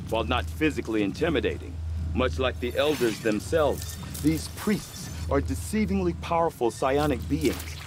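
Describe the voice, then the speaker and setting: A man's voice: mid-range voice, expressive, normal speed, lightly acted. An actor as a precise, formal male research scientist. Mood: clinical, lecturing. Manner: formal, precise, clinical, articulate. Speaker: scientist character in a video game. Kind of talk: scripted game dialogue. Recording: music playing behind the voice.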